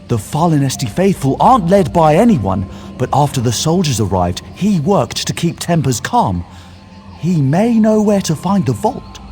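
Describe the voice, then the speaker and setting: Higher-voiced wry quest guide. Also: male voice, darkish tone. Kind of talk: video game quest dialogue.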